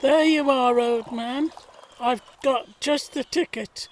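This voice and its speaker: A man's voice: extremely high pitch, slow pace, slight background noise. A man playing a mild-mannered gardener in a period audio drama. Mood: friendly, concerned. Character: mild-mannered gentlemanly gardener, proud, simple, in 1919.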